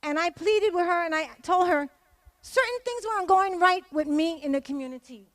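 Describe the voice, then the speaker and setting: A woman's voice: extremely high pitch, moderate pace, no room sound. A woman giving an impassioned, emphatic speech at a community event, repeating phrases for stress. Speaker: community member giving testimony.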